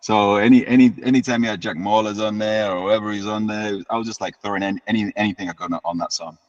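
A man, telling his story as an interview guest in a relaxed way and often repeating words as he restarts. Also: fairly low pitch, fast. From United Kingdom. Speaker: guest musician. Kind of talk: casual interview chat. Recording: dull lo-fi sound with long reverb.

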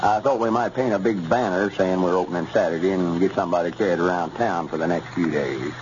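Man as scheming backwoods storekeeper, rural Southern drawl, low pitch, 1930s radio comedy, faint noise. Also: quick pace, darkish tone, natural voice. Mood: pleased, scheming. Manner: drawling, homespun backwoods talk. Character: folksy, scheming, self-assured country storekeeper man. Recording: muffled, noisy 1930s radio recording.

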